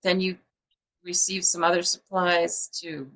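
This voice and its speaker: A woman's voice: fairly low pitch, flat delivery, measured pace, fairly bright tone. A woman publisher hosting a book show over a video call, chatty and rambling with many fillers. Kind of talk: casual interview chat.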